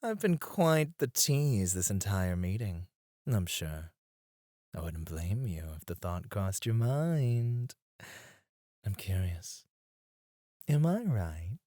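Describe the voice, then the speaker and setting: A man playing a suave, flirtatious club escort in an intimate ASMR roleplay, teasing and breathy, close to the mic. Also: lowish voice, big pitch swings, mellow, no echo. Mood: flirty, teasing. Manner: slow, teasing, seductive, often dropping to a breathy murmur. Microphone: close studio microphone, dry.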